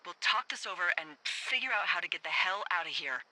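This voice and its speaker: Very bright, feisty American woman. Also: on the low side, lively intonation, brisk, low-quality recording. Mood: wry, suspicious. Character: feisty, blunt, suspicious woman, a fellow fire lookout. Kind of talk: naturalistic video game voice acting. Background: faint background music.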